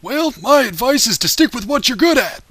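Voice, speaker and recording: Senile old blacksmith, very high pitch, swooping intonation, folksy rambling advice, cartoon parody. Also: male voice, sing-song, normal speed, a bit bright, husky, no echo, exaggerated voice. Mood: folksy, musing. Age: older. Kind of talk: comedic cartoon dub parody.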